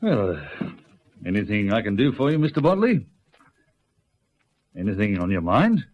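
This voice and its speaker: A man playing a brisk, unflappable Australian country police sergeant in a radio drama, businesslike and reassuring. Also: on the low side, very animated. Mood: brisk, polite. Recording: muffled old radio recording.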